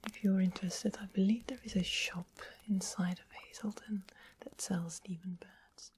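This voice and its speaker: A woman voicing a sweet, mischievous witch in an ASMR fantasy roleplay, in a breathy whisper with an unhurried, polite, coaxing manner. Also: medium pitch, steady tone, a little mellow, a little hiss or hum, close to an everyday voice. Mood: softly informative. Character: sweet-smiled, mischievous witch of the west, softly sly.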